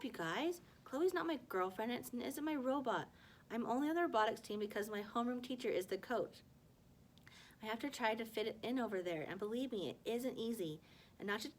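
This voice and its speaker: A woman's voice: fairly high pitch, slight background noise, lightly acted. A woman voicing a defensive, exasperated middle-school boy in a children's novel, with a wry, protesting manner.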